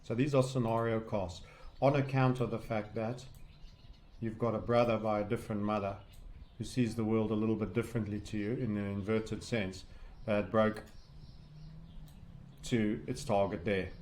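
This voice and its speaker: A man's voice: lowish voice, fairly even intonation, normal speed, pauses often, darkish tone. A man talking through technical analysis off the cuff as a trading educator, rambling and heavy on jargon with colourful asides. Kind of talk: unscripted technical chart commentary.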